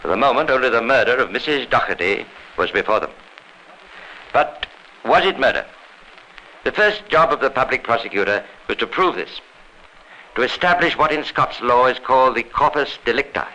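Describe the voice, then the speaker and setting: A man narrating as a grave, authoritative storyteller in 1950s radio crime drama, formal, dramatic and deliberate. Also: mid-range voice, expressive, sharp tone, low-quality recording. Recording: old radio recording with faint noise.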